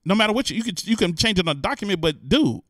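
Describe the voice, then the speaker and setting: A man with a forceful, bright voice and slightly high pitch hosts with animated, slangy comic commentary. He sounds joking and incredulous, and is recorded close and dry. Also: very fast, dry recording. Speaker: host. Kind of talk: comic commentary on news stories.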